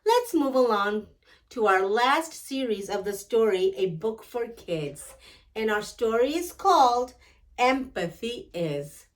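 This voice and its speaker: A woman's voice: fairly high pitch, very animated, slow pace, far from the mic. A woman reading a picture book aloud to children as a storyteller, instructing and putting questions directly to young listeners.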